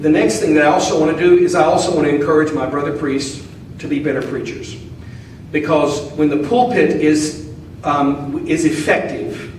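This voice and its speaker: A man with a Southern accent, an Orthodox priest answering audience questions in a folksy, joking, earnest way. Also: a little high. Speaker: Orthodox priest, guest speaker. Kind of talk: casual Q&A talk. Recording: echoey hall, mic at a distance, faint noise.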